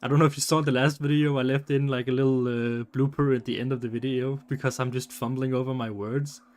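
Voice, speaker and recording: A man's voice: medium pitch, level tone, fast talker. A man from Denmark with a Danish accent, chatting casually on a live stream, rambling with many fillers and restarts.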